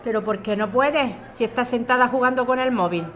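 A woman's voice: fairly high pitch, fairly quick, fairly bright tone, lightly acted. An actress playing a harried, exasperated working mother in an audio drama.

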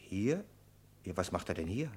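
An actor playing a sarcastic, self-assured federal official in a German radio crime drama, officious and threatening. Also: male voice, low voice, big pitch swings, normal speed, darkish tone, breathy edge, full character voice. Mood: probing. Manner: pointed, sneering, officious.

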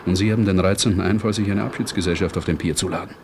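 A man's voice: sits low, steady tone, racing, background noise. A man playing a curt, coldly formal British naval commander with precise, sarcastic politeness in a German radio play. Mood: irritated sarcasm.

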